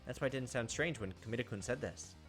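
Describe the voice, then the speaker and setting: A man's voice: mid-range voice, fast talker, fairly bright tone, soft background music. A man hosting a gameplay video, reading a visual novel aloud as dramatized, playful narration.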